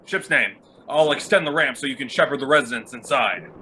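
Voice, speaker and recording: Man playing an awkward bright-voiced costumed hero. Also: medium pitch, animated, a little fast, slight background noise, rough recording. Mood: earnest, flustered. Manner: hesitant, stammering. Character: awkward, earnest middle-aged male costumed vigilante, shy gadget lover. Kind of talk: fan-made dramatic voice acting over video call.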